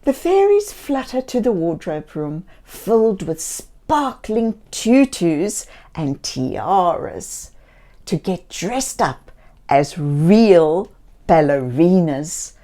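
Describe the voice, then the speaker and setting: A woman reading a children's story aloud in a gentle, theatrical way, speaking directly to young listeners. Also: fairly low pitch, sing-song, slow pace. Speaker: children's story reader and host.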